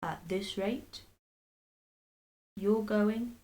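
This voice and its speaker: Bullying storybook husband, voiced by a woman: spiteful, taunting, slow and emphatic, savoring every threat. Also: medium pitch, mellow. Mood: mock solemn. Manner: taunting, drawn-out mock solemnity.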